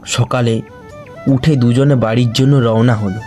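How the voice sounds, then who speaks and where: A man who narrates a cartoon horror story for his channel, telling it slowly and deliberately. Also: mid-range voice, fairly even intonation, mellow, faint music behind.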